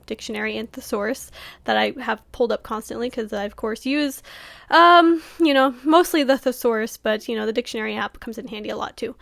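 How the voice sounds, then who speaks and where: A woman author talking casually to camera, full of fillers and small laughs, whose voice is going hoarse. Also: fairly high pitch, a bit bright, no room sound, clean studio sound. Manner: chatty, many fillers, laughs often. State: losing her voice. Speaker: author vlogger.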